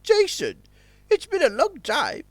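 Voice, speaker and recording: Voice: high-pitched, wildly swooping pitch, measured pace, no echo, full character voice. An actor playing a kindly, eccentric old male tortoise professor in an audio drama. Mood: warm, recognizing.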